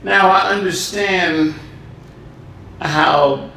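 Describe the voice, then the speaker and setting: A man hosting a podcast in casual chat, talking in a laid-back, playful, drawn-out way from a home studio room. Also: high-pitched, vocal fry, pushed and bright. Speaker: podcast host. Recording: echoey room from far off with faint hiss.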